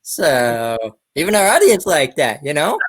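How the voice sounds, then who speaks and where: A man's voice: a little high, big pitch swings, unhurried. A man hosting a podcast interview in a friendly, conversational style, putting questions to a guest.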